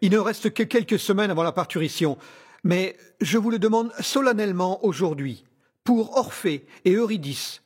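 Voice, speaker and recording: A man's voice: sits high, expressive, normal speed, darkish tone, husky. A man playing a cunning, courtly scientist villain in an audio drama, speaking in a formal, theatrical, condescending way with veiled menace. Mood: solemnly persuasive. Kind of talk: scripted audio drama acting.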